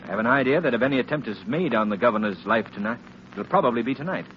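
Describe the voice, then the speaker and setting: An actor playing a suave, self-assured gentleman sleuth, measured and dryly teasing, in 1930s radio style. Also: mid-range voice, expressive, lightly acted. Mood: brisk, teasing. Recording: noisy, muffled 1930s radio recording.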